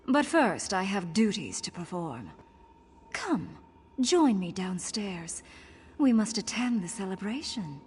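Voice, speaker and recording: A woman's voice: medium pitch, slight background noise. An actress playing a seductive, gloating female vampire villain in a video game, with a teasing, theatrical delivery.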